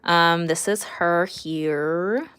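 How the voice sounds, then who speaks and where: Casual, giggly woman with a lowish voice. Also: extremely slow, a bit bright, clear, resonant tone. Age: 30s. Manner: giggly, informal, chatty. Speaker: livestreaming artist reading and answering viewer chat. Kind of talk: casual livestream chat while drawing.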